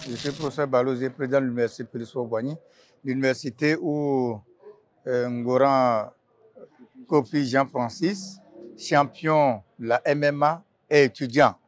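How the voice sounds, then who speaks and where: A man, speaking as a university president in a formal, halting congratulatory address. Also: mid-range voice, steady tone, no room sound.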